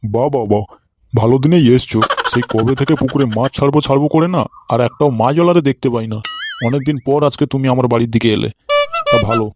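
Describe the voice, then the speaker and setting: A man's voice: medium pitch, fast talker, over loud music, natural voice. A man playing a crafty, foul-mouthed older village uncle in a comedy cartoon. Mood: pleased, curious. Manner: colloquial, wheedling, swearing.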